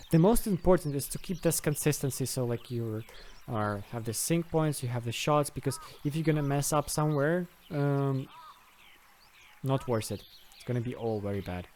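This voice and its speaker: Guy doing an off the cuff screen tutorial, mid pitched, kinda hesitant, thinking out loud, noisy recording. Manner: hesitant, thinking aloud step by step. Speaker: host of a video game modding tutorial.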